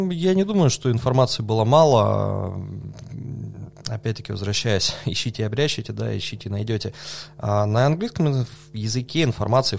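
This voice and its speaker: A man talking through his answers in a relaxed podcast interview, pausing with fillers and laughing softly now and then. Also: fairly low pitch, creaky. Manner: thoughtful, hesitant with many fillers, laughs softly. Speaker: podcast guest, coffee judge.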